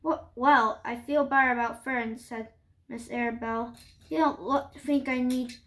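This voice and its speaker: A woman reading a children's story aloud, haltingly, with stumbles and restarts. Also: a little high, fairly even intonation, a bit bright.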